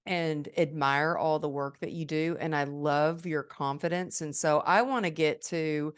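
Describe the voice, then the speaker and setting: A woman's voice: sits low, steady tone, measured pace, clean tone. A woman hosting a podcast, full of gushing praise for her guest and leaning on fillers as she frames her questions. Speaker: podcast host. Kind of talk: interview questions.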